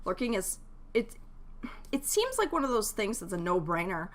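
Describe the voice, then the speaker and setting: A woman's voice: medium pitch, very animated, normal speed. A woman streamer chatting casually to her live viewers, giving advice in a rambling, jokey way and often breaking off mid-thought. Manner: jokey, laughs easily. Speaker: game streamer.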